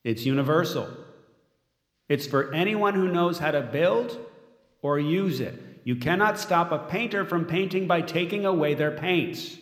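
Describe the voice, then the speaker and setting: A man's voice: a little high, lively intonation, measured pace. An actor playing a smug, controlling tech mogul in an audio drama, giving a polished, self-satisfied speech as he unveils his android. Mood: proud, boastful. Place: large event room as staged, crowd behind. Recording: echoey hall with crowd behind.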